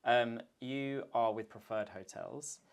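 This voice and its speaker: A man's voice: medium pitch, takes it slowly, creaky, clean tone. A man hosting a podcast, asking questions in a friendly, easy-going way. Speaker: podcast host. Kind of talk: casual interview chat.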